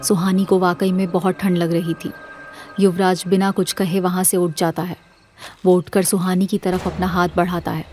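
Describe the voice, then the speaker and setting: A woman's voice: fairly low pitch, little pitch movement, normal speed, warm tone, faint music behind. A woman narrating a scripted audio drama as its storyteller.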